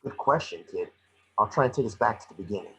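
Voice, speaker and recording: A man's voice: on the low side, expressive, fast talker, gravelly, pushed and bright, slight background noise, heavily acted, poor audio. A man reading the part of a wisecracking creature detective with amnesia in an online script table reading. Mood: pondering.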